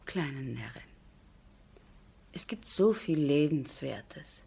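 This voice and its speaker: An actress playing a poised, sensible, gently wry lady of the manor in a radio drama. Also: sits low, lively intonation, slow pace, gravelly, heavily acted. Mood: wry, hesitant. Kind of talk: German radio drama acting.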